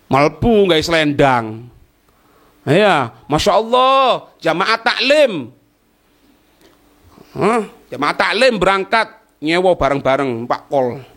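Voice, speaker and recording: Male Islamic teacher, East Javanese accent, high-pitched with big melodic swings, informal question-and-answer lecturing. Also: sharp tone. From East Java, Indonesia. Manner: asks and answers own questions, checks listeners understand. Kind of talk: informal religious lecture explaining a text line by line.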